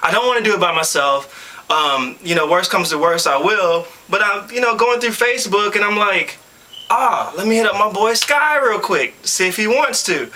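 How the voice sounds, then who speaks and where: A young man from Louisville, Kentucky, telling a story in a casual, animated way, with slang and frequent laughs. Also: high voice, moderate pace. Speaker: audition video co-presenter.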